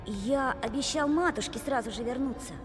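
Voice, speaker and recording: A woman voicing an innocent, polite, timid young boy in an animated film, earnest and hesitant. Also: high-pitched, moderate pace, lightly acted. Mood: uneasy, polite. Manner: earnest, wide-eyed, hesitant. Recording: long echoing reverb, film music.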